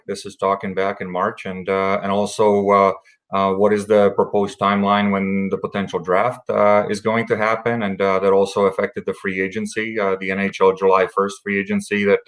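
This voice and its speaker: A man from the Czech Republic, a hockey executive, answering interview questions in a halting way with many fillers. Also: on the low side, a bit bright.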